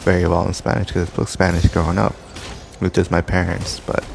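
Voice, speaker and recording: Man, young adult, rambling gameplay talk, meandering, low voice, noisy recording. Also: completely flat delivery, a little fast, vocal fry. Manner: loose, meandering, filler-heavy storytelling. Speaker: gaming commentator. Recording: background noise.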